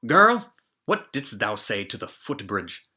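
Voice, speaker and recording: A man's voice: on the low side, sing-song, takes it slowly, sharp tone, husky, full character voice. A man voicing a haughty, short-tempered false bride in a storybook reading, with an indignant, imperious manner. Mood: angry, demanding.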